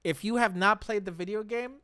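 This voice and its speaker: A man's voice: high-pitched, a little fast, sharp tone, dry recording, clean studio sound. A man co-hosting a casual chat show, talking enthusiastically and emphatically about his favourites. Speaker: podcast co-host.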